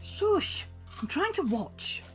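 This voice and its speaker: A woman plays an exasperated, bossy mum in an audio drama. Her voice is fairly high and very animated, with a scolding, everyday turn of phrase. Music is audible behind her. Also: slow pace, heavily acted. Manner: scolding, colloquial. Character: bossy, exasperated mother of a grown daughter.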